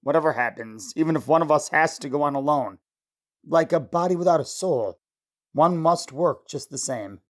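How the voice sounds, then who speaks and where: A man's voice: on the high side, normal speed, close to an everyday voice. A man playing a steadfast, supportive scientist husband in an old-time radio drama. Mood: grave, resolute.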